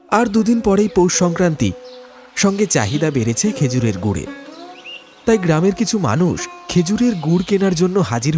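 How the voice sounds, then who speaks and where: A man's voice: a little high, a little mellow. A man narrating a ghost story from a script in a dramatic style.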